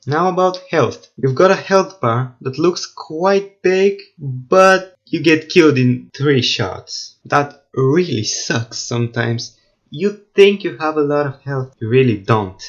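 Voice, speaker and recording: A man with a slightly high voice records a casual game review voice-over. He talks informally and gives blunt opinions with some mild swearing. The recording is a bit dull and lo-fi. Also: expressive, slow pace. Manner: informal, opinionated, mildly profane. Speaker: gaming video reviewer. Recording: dull, lo-fi microphone sound.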